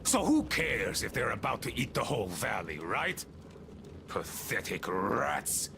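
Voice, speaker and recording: An actor playing an unhinged, bitter, abandoned gang leader in a video game, gravelly and rambling, swinging from scornful muttering to shouts. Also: male voice, mid-range voice, very animated, measured pace, noisy background. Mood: angry, scornful. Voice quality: gravelly, creaky. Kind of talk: video game character acting.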